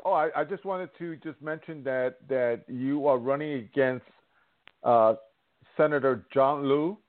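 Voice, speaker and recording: A man hosting a call-in radio show, speaking in a hesitant, halting way. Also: medium pitch. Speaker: radio host. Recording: phone-line audio.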